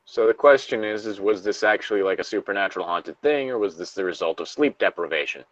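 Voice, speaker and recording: A man's voice: lowish voice, fast, no room sound, highs cut off. A man co-hosting a story-reading show, switching between informal, sweary banter and reading horror stories aloud. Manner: informal, profane.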